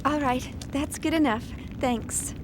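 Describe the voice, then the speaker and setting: High-voiced, caring young female engineer. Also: takes it slowly, noisy background. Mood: friendly, businesslike. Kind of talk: video game voice acting.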